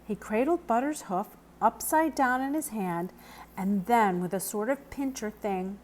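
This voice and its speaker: A woman giving an expressive read-aloud of a children's novel as the narrator. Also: on the high side, a little slow. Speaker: book reader.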